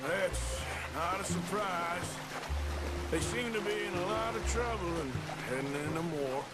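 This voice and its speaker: Slow-talking, weary western outlaw. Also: male voice, high voice, low-quality recording. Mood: dry, displeased. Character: weary, wry male outlaw gunman in the Old West. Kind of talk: video game cutscene acting. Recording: loud music behind the voice.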